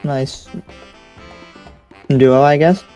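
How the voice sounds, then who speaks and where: A man's voice: mid-range voice, steady tone, extremely slow. A man playing an easygoing, curious demon slayer in an improvised anime game roleplay, casual and hesitant. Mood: friendly, interested. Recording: music behind.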